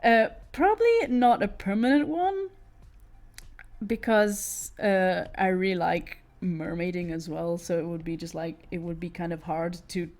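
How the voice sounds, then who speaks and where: A woman's voice: on the low side, unhurried, darkish tone. A woman with a British accent, born in Italy, chatting casually with her stream while she draws, giggling often and restarting her sentences. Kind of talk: casual chat while drawing.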